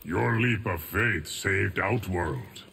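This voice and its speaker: An actor voicing a solemn, stoic, ageless guardian of time in a video game, formal and measured with a gravelly voice. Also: male voice, low-pitched, pushed and bright, a little hiss or hum, heavily acted.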